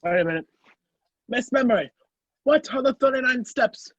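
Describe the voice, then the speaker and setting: A man playing a determined, wrongly accused gentleman on the run in an amateur radio play, over a video call. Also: very high voice, animated, moderate pace, no echo. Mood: urgent. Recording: dull, lo-fi video-call audio.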